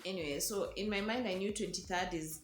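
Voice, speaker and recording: A woman's voice: mid-range voice, fairly quick, slight background noise. A young woman with a Kenyan accent telling a personal story in a casual vlog, earnest and chatty. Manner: chatty, earnest, slips into quoted voices. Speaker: vlogger. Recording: roomy echo with faint music.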